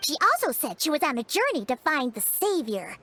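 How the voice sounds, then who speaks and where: A woman's voice: high-pitched, sing-song, fairly quick, bright, forceful tone, full character voice. A woman voicing a tiny, childlike fairy companion in a video game, bubbly, chatty and cheeky, with exaggerated delivery. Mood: eager, explaining. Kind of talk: animated video game voice acting.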